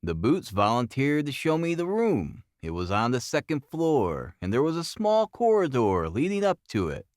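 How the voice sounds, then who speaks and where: A man playing an earnest Victorian police detective, animated pitch. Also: no echo, clean studio sound. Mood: grave, tense. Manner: formal, deliberate storytelling. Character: earnest, methodical male Victorian police detective giving his report.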